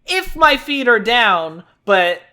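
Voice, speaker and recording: A man co-hosting a podcast in casual chat, rambling along with stammered restarts and quick laughs. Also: very high-pitched, big pitch swings, bright, forceful tone. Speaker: podcast co-host.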